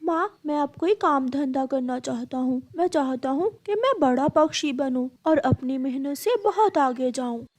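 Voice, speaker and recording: A woman's voice: high voice, lively intonation, moderate pace, hardly any pauses, a little mellow. A woman voicing a hardworking, earnest young male bird in a cartoon story, speaking with resolve. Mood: earnest, hopeful. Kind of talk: cartoon story character dialogue.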